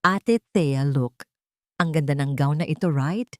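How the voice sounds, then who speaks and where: A woman's voice: deep voice, unhurried, no room sound, clean studio sound. A woman voicing a sly, smug younger mistress in an audio story, coy and condescending with false sweetness. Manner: coy, Taglish-mixing, condescending.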